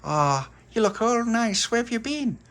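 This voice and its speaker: A man's voice: very high voice, very animated, measured pace, a bit bright, pitched above the performer's own voice, full character voice. A man voicing a chatty, joking shopkeeper. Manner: chatty, teasing, storytelling. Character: chatty joking male corner-shop keeper.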